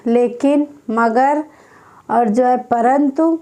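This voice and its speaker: A woman teaching an exam-prep grammar class, repeating each word again and again as if for dictation. Her voice sits high but has a soft, mellow tone, and she takes her time over every phrase. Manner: slow, repetitive dictation, repeating each term several times.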